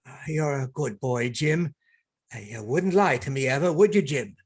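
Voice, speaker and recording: A man playing a gruff, wary, hard-drinking old sea captain in a radio play. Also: mid-range voice, moderate pace, close to an everyday voice. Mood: furtive, coaxing.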